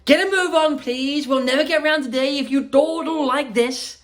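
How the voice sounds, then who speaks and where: A woman voicing an eccentric, excitable man who owns a chocolate factory, bossy and theatrical, in a children's storybook reading. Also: high voice, normal speed, pitched above the performer's own voice, lightly acted. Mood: urgent, proud. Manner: exclamatory, theatrical, hurrying others along.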